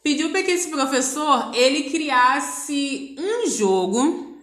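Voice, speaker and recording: Slow, high-voiced Brazilian woman teaching. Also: animated, fairly bright tone. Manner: didactic, repeats key points for emphasis. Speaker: physical education teacher. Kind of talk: school lesson for students. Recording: echoey, roomy sound.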